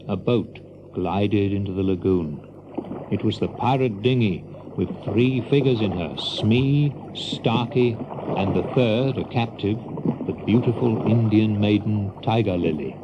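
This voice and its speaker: A man's voice: fairly low pitch, lo-fi sound, noisy background. A man narrating a children's story in a dramatic storybook style. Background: music.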